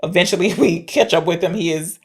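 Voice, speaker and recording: A woman's voice: lowish voice, a little fast, slightly rough. A woman co-hosting a podcast, casually retelling a film's story and thinking aloud as she goes. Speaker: podcast co-host. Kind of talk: casual film discussion, retelling the plot.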